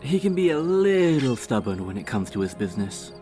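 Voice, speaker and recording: A man's voice: mid-range voice, very animated, brisk, warm tone, soft background music, voice pushed up, close to an everyday voice. A man voicing a loyal, good-humored male warrior speaking earnestly to an old friend.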